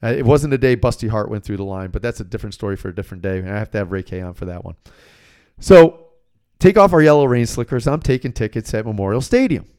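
An American man hosting a sports podcast as a coach, talking casually and bluntly in an animated storytelling way. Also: lowish voice, fast talker, clear, resonant tone. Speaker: sports betting podcast host and basketball coach.

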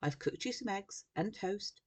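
A woman's voice: mid-range voice, lively intonation, measured pace, a little mellow, breathy edge, pitched above the performer's own voice. A woman voicing a caring, practical grandmother in a storybook, calling out to her grandson in a motherly way. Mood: caring, insistent.

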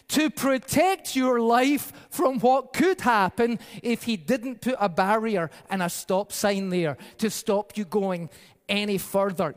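A male pastor preaching a sermon in a conversational, storytelling way with a varied pace. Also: very high voice. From Peru-born, raised in the UK by Scottish parents. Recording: reverberant hall.

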